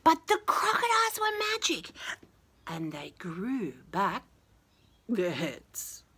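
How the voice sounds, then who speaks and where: A woman reads a picture book to little children at an unhurried pace. Her pitch moves up and down dramatically in a bright voice, and she chats casually to her young listeners, sometimes in a hushed tone. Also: wildly swooping pitch, husky. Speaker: children's story reader. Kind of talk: animated picture-book read-aloud with casual asides.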